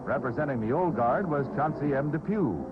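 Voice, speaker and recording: A man's voice: medium pitch, lively intonation, a little fast. A man with an American accent reads scripted historical narration as a newsreel announcer. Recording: dull vintage newsreel soundtrack, loud music.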